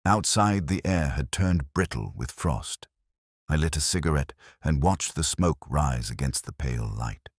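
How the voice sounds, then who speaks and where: A man playing a weary, melancholy police inspector who narrates his case in measured, literary first-person prose. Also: extremely low pitch, lively intonation, dry recording, clean studio sound, heavily acted.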